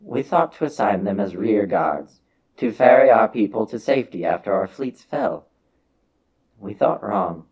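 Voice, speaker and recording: A man with a husky voice giving a solemn, measured, theatrical reading of a science fiction story as its narrator. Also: fairly low pitch, big pitch swings, dull audio.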